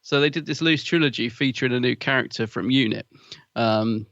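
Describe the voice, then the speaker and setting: A man's voice: medium pitch, fairly quick, a bit bright, no room sound, highs cut off. A man co-hosting a podcast in casual chat, chatty and rambling with fillers, laughing often. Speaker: podcast co-host.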